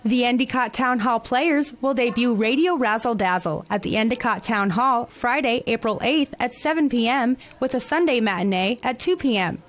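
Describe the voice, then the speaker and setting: American lady doing a local tv news report, scripted, kinda high voice. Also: moderate pace, hardly any pauses, slight background noise.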